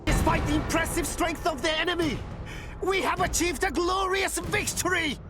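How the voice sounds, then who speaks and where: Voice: very high-pitched, fairly even intonation, moderate pace. An actor playing a fervent samurai officer in a video game cutscene, declaiming urgent battle reports to a lord. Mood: triumphant. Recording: loud music behind the voice. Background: loud cinematic music.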